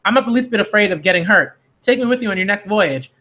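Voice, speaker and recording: A man's voice: high voice, expressive, fast, sharp tone, voice pushed up. A man reading the part of a stubborn, bragging little village boy from a manga who longs to be a pirate. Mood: boastful, pleading. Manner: defiant, bragging.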